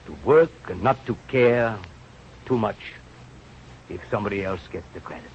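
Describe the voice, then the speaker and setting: A muffled, noisy vintage radio recording carries a lowish-voiced elderly scientist played by a male actor. He is a kindly, rueful mentor who chooses his formal words slowly and haltingly. The delivery is that of classic radio drama. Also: close to an everyday voice. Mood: gentle, philosophical. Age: older. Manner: halting, deliberate, formal phrasing. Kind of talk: 1930s-40s radio drama acting.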